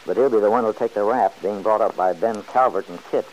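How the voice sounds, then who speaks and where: A man's voice: on the low side, brisk, a little mellow, close to an everyday voice, poor audio. An actor playing a stubborn, good-hearted, folksy man who grumbles plainly in an old-time radio serial. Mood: skeptical. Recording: hissy old radio recording.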